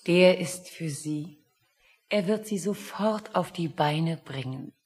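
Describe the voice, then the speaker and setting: Female office messenger, slightly low voice, clipped, formal, dutiful, radio drama. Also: slow, darkish tone. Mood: businesslike. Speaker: radio play actor. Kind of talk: German satirical radio play acting.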